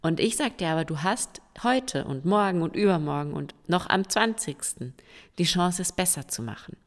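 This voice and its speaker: A woman of around forty, hoarse and stuffy-nosed, hosting a solo podcast and encouraging her listeners directly. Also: on the low side, expressive, moderate pace. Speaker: podcast host. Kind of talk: solo podcast monologue giving tips. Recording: long reverb with faint music.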